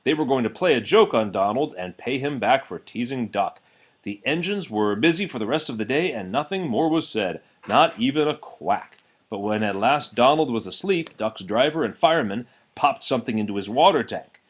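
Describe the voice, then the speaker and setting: A man reading a children's storybook aloud as an expressive narrator. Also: medium pitch, moderate pace, muffled recording. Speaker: storybook reader.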